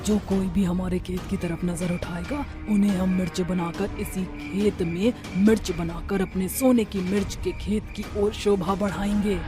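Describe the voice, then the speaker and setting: Voice actor doing a cartoon witch mom, bossy n threatening, medium pitch, loud music under it. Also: steady tone, unhurried, hardly any pauses, lightly acted. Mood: commanding. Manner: threatening, commanding. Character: menacing mother witch guarding her golden chilli field. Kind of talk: animated Hindi story dialogue.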